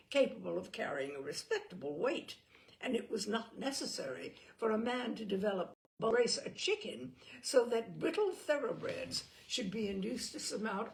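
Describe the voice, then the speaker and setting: A woman reading literary fiction aloud as an audiobook narrator, in a measured manner with the odd stumble. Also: mid-range voice, creaky, low-quality recording. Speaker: audiobook reader.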